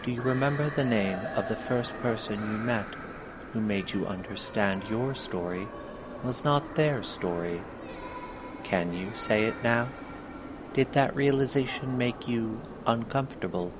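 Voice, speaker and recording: A man playing a solemn otherworldly museum guide, speaking in formal, measured scripted narration with a questioning bent. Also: mid-range voice, close to an everyday voice. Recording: clearly noisy, dull lo-fi recording.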